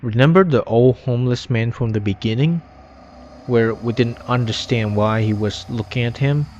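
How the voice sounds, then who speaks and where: A man's voice: medium pitch, normal speed, darkish tone, slight background noise. A man narrating an informal scripted story retrospective in loose, non-standard grammar, recorded on an inexpensive microphone. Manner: non-standard grammar with dropped verb endings. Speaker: video narrator. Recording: dull lo-fi cheap mic, music behind. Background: music.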